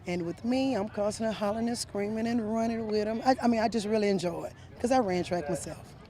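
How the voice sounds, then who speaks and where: A man's voice: high-pitched, fast talker, crowd noise. A man who coaches a youth track program, answering interview questions outdoors at a meet, earnest and enthusiastic.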